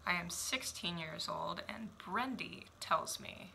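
Gravelly, bright-toned woman reading spoken word. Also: lowish voice, lively intonation, slight background noise, rough recording. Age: 20s. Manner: measured, reflective, deliberate pauses. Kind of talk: spoken word poetry performance. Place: small home room indoors. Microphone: headphones and webcam.

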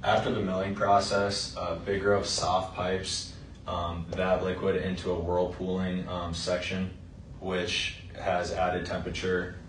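Young male student, very deep and monotone, slow with ums, echoey and distant. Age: young adult. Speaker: student presenter. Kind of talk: class project narration. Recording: roomy, distant mic, faint noise.

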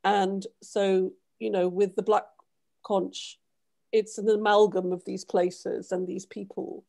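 Animated middle-aged woman on a headset. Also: mid-range voice, slow, creaky. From Trinidad and Tobago. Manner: animated, gestures while talking, many ums. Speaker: panel guest author. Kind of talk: casual panel discussion. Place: home room, video call.

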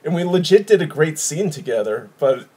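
A man in his thirties from Wisconsin hosts a laid-back video call from his living room. His voice is mellow and a little husky, and he rambles with easy chuckles. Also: on the high side, expressive, normal speed. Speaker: podcast host. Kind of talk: casual chat over video call.

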